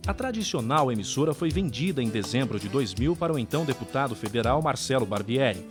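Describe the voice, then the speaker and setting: A man's voice: mid-range voice, animated, fast, music in the background. A man with a Brazilian accent reading scripted documentary narration as the narrator.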